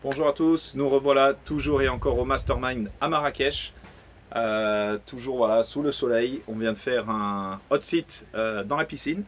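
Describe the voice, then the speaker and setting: A man hosting a casual interview, talking in a relaxed, chatty way with loose phrasing. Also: medium pitch, normal speed, slight background noise. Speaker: interviewer and host. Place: outdoors by a pool.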